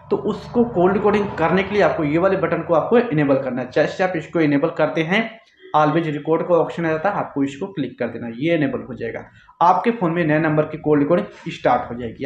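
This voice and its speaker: Male tech tutorial host, explains step by step and repeats himself; dull, echoey indoor recording. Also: fairly high pitch. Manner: repetitive, instructional phrasing. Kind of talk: step-by-step phone tutorial to camera. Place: small indoor office, seated. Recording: echoey room, dull muffled sound.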